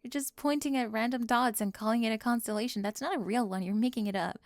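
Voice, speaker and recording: A woman performing a whispered ASMR roleplay, teasing and sharp-tongued, moving between whispers and soft speech into a close microphone. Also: on the high side, fast talker, no echo.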